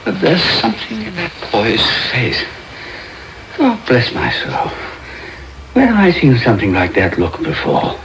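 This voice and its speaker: Respectable, flustered gentleman, English, well-spoken, highly animated, noisy old radio drama broadcast. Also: a little slow, long pauses. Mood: concerned, hesitant. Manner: well-spoken, earnest, hesitant. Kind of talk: period radio drama acting. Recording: noisy medium-wave radio taping.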